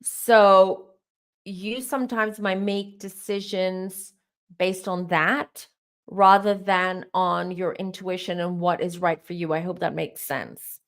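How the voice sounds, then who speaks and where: A woman hosting a live-stream tarot Q&A, her voice starting to go hoarse, who rambles as she gives advice straight to her viewers. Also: on the low side, steady tone, slow pace. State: voice going hoarse. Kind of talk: live-stream spiritual Q&A, answering chat questions.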